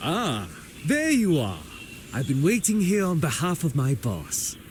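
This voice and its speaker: An animated game henchman with a slightly high voice. He acts as his boss's messenger, and there is clear noise behind him. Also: measured pace. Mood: courteous. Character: male henchman speaking for his boss. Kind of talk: video game character voice acting.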